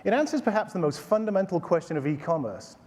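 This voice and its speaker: A man's voice: sits high, lively intonation, fast talker, a little mellow. A man in his forties with a Texas accent, a company CEO giving a staged product demo with playful, showman-like asides. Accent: Texan. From Texas, USA. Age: 40s. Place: conference stage in a large hall. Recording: reverberant conference hall.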